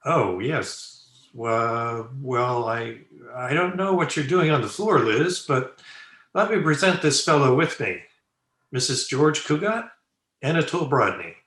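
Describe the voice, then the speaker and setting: An actor playing a courteous, slightly puzzled family friend in old-time radio comedy, with a hesitant manner. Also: male voice, mid-range voice, lively intonation, unhurried, a bit bright. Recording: echoey room on video call.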